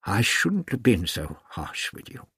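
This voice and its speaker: Guy doing a crusty old doctor from an audiobook, emphatic, swoopy pitch, husky and slow. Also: very animated, fairly bright tone, no room sound, clean studio sound, full character voice. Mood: gentle, contrite. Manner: emphatic, scolding, old-fashioned phrasing. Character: irascible, authoritative older male doctor, gruff but kindly.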